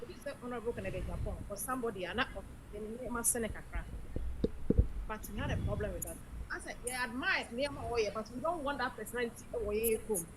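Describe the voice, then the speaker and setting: Ghanaian lady calling in to a show, stops and restarts a lot, fairly high voice. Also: animated, slight background noise, poor audio. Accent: Ghanaian English. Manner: halting, mixes in Twi phrases, many restarts. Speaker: caller. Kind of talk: phone-in chat.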